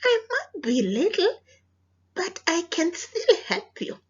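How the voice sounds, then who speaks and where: A South African woman voicing a tiny, plucky storybook mouse, earnest and insistent, with a husky edge. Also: extremely high pitch, very animated, slow, muffled recording, voice pushed up, heavily acted.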